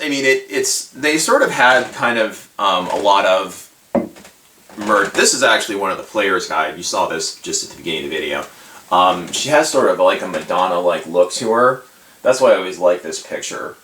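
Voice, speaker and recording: A man giving a casual, rambling hobby commentary as a video host, halting and informal, often circling back as he talks. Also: deep voice, bright, forceful tone, slight background noise. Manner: halting, hesitant, meandering, informal. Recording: roomy echo, distant microphone.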